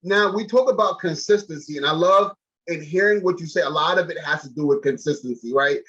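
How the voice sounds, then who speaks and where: A man's voice: fairly high pitch, brisk, fairly bright tone. An American man in his thirties interviewing a guest casually and informally over a video call, with an enthusiastic manner. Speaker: talk show host, interviewer. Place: small room indoors, on a video call. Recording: slightly dull video-call audio.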